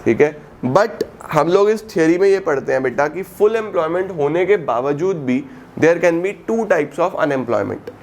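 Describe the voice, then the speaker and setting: A man teaching an economics class. He keeps asking the students questions and answers them himself, mixes Hindi with English terms, stretches out key words slowly and calls his students "beta". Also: a little high, animated, a little mellow. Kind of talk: recorded classroom lecture.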